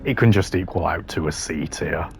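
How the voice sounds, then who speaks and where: A man doing casual gaming commentary. His voice is fairly low. He hands out opinions bluntly, dismissive and drily humorous. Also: normal speed, gravelly, slight background noise. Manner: blunt, dismissive, dry humour. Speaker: gaming content creator ranking voice lines.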